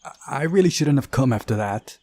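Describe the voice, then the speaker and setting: Man doing a brash, snappy teenage girl, pitched up. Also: animated, a little fast, darkish tone, husky. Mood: huffy defiant. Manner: blunt, snappy. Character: brash, prickly teenage girl, bossy and defiant.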